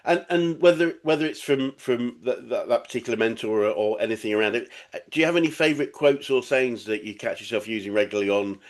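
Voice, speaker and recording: A man's voice: medium pitch, quick pace, few pauses. A man with a Northern English accent, a business coach interviewing a guest, encouraging and often stumbling over restarts.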